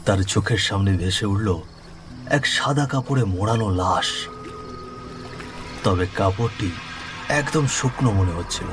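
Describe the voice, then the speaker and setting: A man's voice: fairly low pitch, measured pace, long pauses. A man giving scripted narration of a horror story. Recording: music behind the voice.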